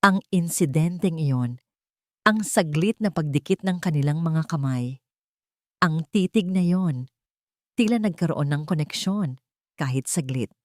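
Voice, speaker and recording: A woman with a Filipino accent narrates a dramatic story from a script. Her voice sits a little low, and the sound is dry, with very little room around it. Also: lively intonation, a little slow, long pauses, darkish tone, no echo.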